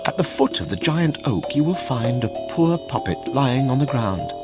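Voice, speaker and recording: A man voicing a kindly fairy woman in a storybook, giving orders gently. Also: mid-range voice, expressive, moderate pace, loud music behind the voice, voice pushed up, lightly acted. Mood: instructing. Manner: gentle but commanding. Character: commanding, kindly blue-haired fairy woman.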